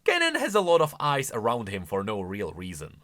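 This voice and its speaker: A man's voice: medium pitch, wildly swooping pitch, fairly quick, a bit bright. A man hosting a video of casual, explanatory game lore commentary in an emphatic, conversational way. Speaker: video host.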